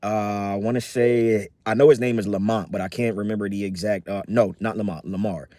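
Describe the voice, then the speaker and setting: A man in his thirties talks through a casual personal update, voice sitting a bit low. He rambles, filling gaps with ums and restarting his sentences, easygoing and upbeat. The sound is close and dry, with no room around it. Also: a little fast, no room sound. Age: 30s. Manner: rambling, many fillers and restarts; relaxed, upbeat. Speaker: vlogger.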